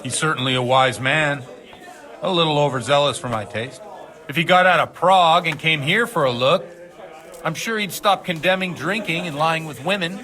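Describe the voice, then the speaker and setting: A man's voice: on the high side, normal speed, pushed and bright. A man acting a hard-drinking, rebellious village priest in a video game, preachy and fervent in his delivery. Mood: defensive, worldly.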